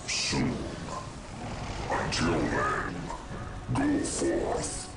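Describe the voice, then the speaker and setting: A man's voice: extremely low pitch, very slow, gravelly, echoey room, distant mic, exaggerated voice, poor audio. A man playing an ancient, menacing demon in a game cutscene, taunting theatrically in a monstrous growl. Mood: gloating menace. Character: ancient male demon, gloating, menacing, mocking. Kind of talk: video game cutscene acting. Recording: loud music under the voice.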